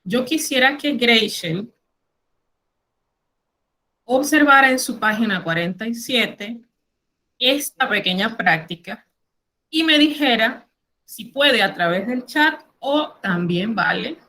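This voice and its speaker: A woman's voice: fairly high pitch, expressive, takes it slowly, pauses often, pushed and bright. A middle-aged woman teacher with a Panamanian Spanish accent, teaching an online class and carefully explaining word lists. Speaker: French teacher.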